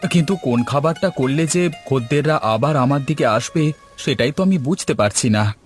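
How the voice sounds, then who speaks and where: A man's voice: on the high side, lively intonation, normal speed, natural voice. Man as an earnest cartoon shopkeeper husband. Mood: worried. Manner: earnest, agreeable. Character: earnest, hopeful male small eatery owner, a husband. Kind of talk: cartoon dub. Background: music.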